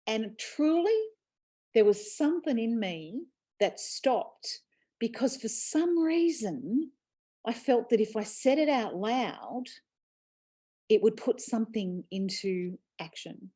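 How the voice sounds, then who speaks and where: A woman's voice: a little high, expressive, slow pace, mellow. A woman hosting a casual, reflective conversation, talking earnestly and laughing easily. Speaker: host and author. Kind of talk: casual chat.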